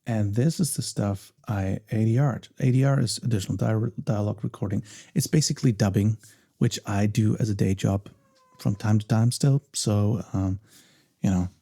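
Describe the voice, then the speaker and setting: Low, gravelly, soft-spoken man. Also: moderate pace. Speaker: voice actor and ASMR creator. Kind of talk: soft-spoken behind-the-scenes commentary.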